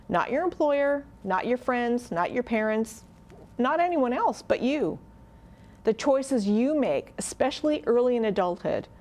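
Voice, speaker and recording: A woman delivering a scripted motivational talk in an earnest, deliberate manner, with a mid-range voice. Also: a little high, a little mellow. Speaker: motivational speaker.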